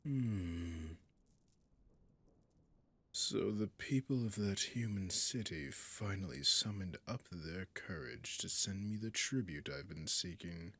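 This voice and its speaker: An imperious dragon king acted by a man with a very low voice. He speaks very slowly and formally in a husky, breathy near-whisper. He is possessive and seductive with quiet menace, as in a fantasy audio roleplay. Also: full character voice. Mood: smug, menacing. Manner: slow, deliberate, haughty, archaic formal phrasing. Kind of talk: roleplay audio drama, addressing the listener.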